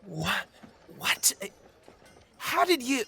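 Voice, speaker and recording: High-voiced cocky boastful drifter. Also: male voice, sing-song, bright, forceful tone, breathy edge, soft background music, full character voice. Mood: indignant, flustered. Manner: boastful, theatrical, drawn-out. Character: cocky, boyish drifter man, boastful and naive. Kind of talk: dramatic audio fiction acting.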